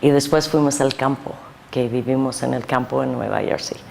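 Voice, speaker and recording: An older American woman with a native English accent, answering interview questions in halting Spanish mixed with English. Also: rumbling low, steady tone, creaky, darkish tone. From United States. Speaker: guest artist. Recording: long reverb, faint noise.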